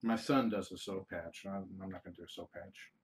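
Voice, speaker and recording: A middle-aged man from the United States answering viewer questions on a casual livestream, rambling and halting with frequent restarts. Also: low-pitched, steady tone, slight background noise. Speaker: kitten foster livestreamer.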